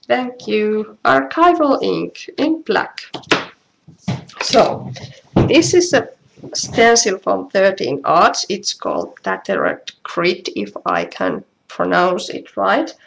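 A woman from Finland with a Finnish accent, giving a casual craft tutorial in halting, self-deprecating English with a creaky voice. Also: mid-range voice. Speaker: craft demonstrator. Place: indoors at home. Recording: noisy live stream with clicks.

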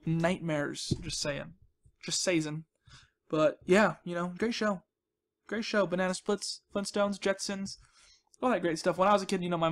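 A man with a fairly high voice talks through his gameplay as he goes. His commentary is casual and rambling, full of chatty asides and small self-deprecating jokes. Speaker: gaming video host.

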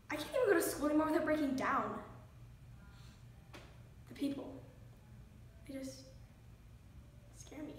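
A woman performing a dramatic monologue as a frightened, defiant young psychiatric patient, intense and accusatory. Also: high voice. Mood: anxious, fragile. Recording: very echoey room, distant mic, faint noise.

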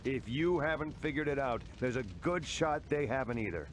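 An actor playing a resolute military resistance general in a video game, speaking with deliberate authority. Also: male voice, mid-range voice, a little hiss or hum, natural voice. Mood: grim confidence. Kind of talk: video game cutscene acting.

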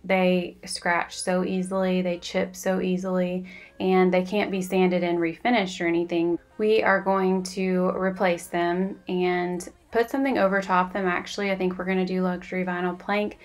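A woman's voice: lowish voice, level tone, measured pace. Chatty woman vlogger. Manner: chatty, confiding, says y'all. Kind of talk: casual voice-over chat.

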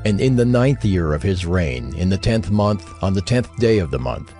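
A man narrating a dramatized scripture reading in a measured, formal manner. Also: deep voice, big pitch swings, warm tone. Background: music under the voice.

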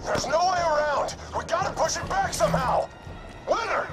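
An actor playing an urgent, commanding marine who barks forcefully in a video game. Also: male voice, extremely high pitch, normal speed, over loud music. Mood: shouting, urgent. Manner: barking, forceful. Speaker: soldier. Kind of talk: video game battle dialogue.

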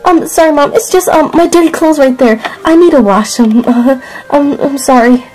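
Nervous eighteen-year-old girl, stumbling "um"s, slightly high, soft music bed; voiced by a woman. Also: normal speed, natural voice, rough recording. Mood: flustered. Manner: flustered, stammering, full of "um"s.

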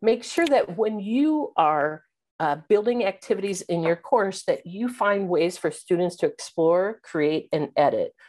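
Middle-aged woman presenter, slightly low voice, webcam talk, coaching tone with ums. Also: expressive, unhurried, a little mellow. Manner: instructive, fillers like um and uh, asks "right?". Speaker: keynote speaker on teaching. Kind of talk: webinar presentation. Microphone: video call webcam.

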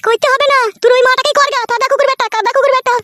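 A woman playing a jealous, quarrelsome village wife in a comic cartoon, shrill and foul-mouthed, with a pitched-up voice. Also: fairly even intonation, racing, very noisy. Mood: furious. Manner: shrill, scolding, repetitive insults.

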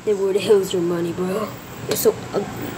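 A woman's voice: mid-range voice, steady tone, brisk, voice pushed down, natural voice. A woman voicing a curt, scornful cartoon grump in an amateur dub. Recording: noisy home recording.

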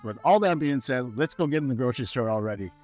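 A man's voice: mid-range voice, animated, fast, darkish tone, faint music behind. A man in his thirties from Canada, chatting casually to camera while walking through a grocery store on a lapel mic. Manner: chatty, upbeat, talks to camera with fillers. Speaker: fitness vlogger. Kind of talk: casual walk-through vlog.

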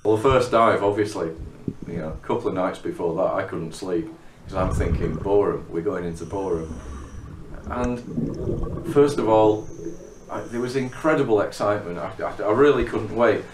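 A man's voice: lowish voice, normal speed, noisy background. A middle-aged man, a cave diver, talking in an interview about diving and often repeating words as he starts a phrase. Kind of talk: documentary interview. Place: living room. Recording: roomy, from a distance.

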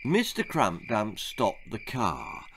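A man's voice: lowish voice, huge pitch swings, slow, vocal fry, a bit bright, slight background noise. A British man in his sixties reading a children's story aloud in a theatrical, arch manner. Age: 60s. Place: small book-lined room indoors.

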